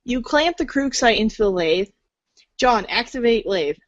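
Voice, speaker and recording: A woman's voice: on the high side, lively intonation, unhurried, no room sound, muffled recording. A woman reading scripted second-person game narration in the manner of a read-along storybook.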